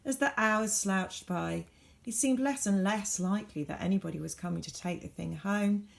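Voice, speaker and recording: A woman reading a children's storybook aloud as a teacher would, with dramatic, varied emphasis and deliberate pauses. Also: mid-range voice, vocal fry.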